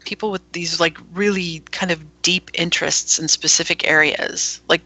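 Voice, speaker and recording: A woman guest chats eagerly about the radio station she runs and laughs often. Her voice is bright and forceful, a little on the low side, and it sounds dry and close. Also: steady tone, normal speed, no echo, low-quality recording. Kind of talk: casual interview chat.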